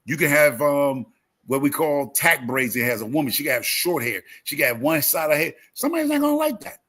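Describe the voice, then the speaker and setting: A man's voice: a little high, very animated, a little fast, fairly bright tone. A talkative man in his fifties chatting casually as a livestream host, emphatic and repeating phrases as he tells motivational stories. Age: 50s. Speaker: YouTube gardening creator and live host.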